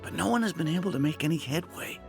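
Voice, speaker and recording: A man's voice: fairly high pitch, lively intonation, fast, gravelly. An actor playing a weary, middle-aged male village trader who talks in a resigned, plainspoken way. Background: game music.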